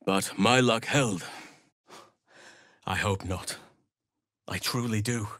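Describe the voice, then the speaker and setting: An actor playing a solemn, gentle-hearted druid healer and warrior in a video game, speaking formally and with measured earnestness. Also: male voice, fairly low pitch, no echo, clean studio sound. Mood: solemn, thoughtful.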